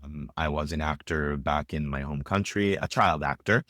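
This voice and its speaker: Low-voiced man, relaxed rambling. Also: normal speed, dry recording, clean studio sound. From Israel. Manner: rambling, many fillers and restarts. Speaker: podcast guest, filmmaker. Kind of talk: casual interview chat.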